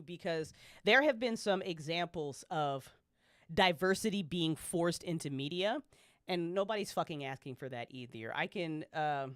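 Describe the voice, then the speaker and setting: A woman's voice: on the low side, a bit bright, no room sound, clean studio sound. A woman on a podcast panel, chatting casually and opinionatedly as a fan, with emphatic, slangy, swear-heavy delivery.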